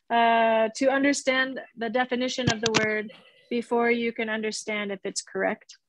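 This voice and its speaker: A woman's voice: a little high, level tone, normal speed, rough recording. A woman in her thirties giving a casual guest lecture on a video call through earbuds, chatty and laughing easily as she checks in with her listeners. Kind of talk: casual explanatory teaching over video call. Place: small indoor room.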